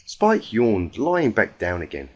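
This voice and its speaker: A man with a husky voice reading a story aloud in a dramatic audiobook style. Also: on the low side, wildly swooping pitch, takes it slowly. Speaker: fan fiction reader.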